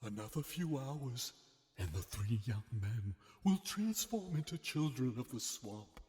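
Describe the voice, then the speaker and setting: A man playing a sinister, grandiose mad scientist villain in a melodramatic horror audio drama, swinging theatrically from hushed to booming. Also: big pitch swings, unhurried. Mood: gloating, menacing. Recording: long hall-like reverb.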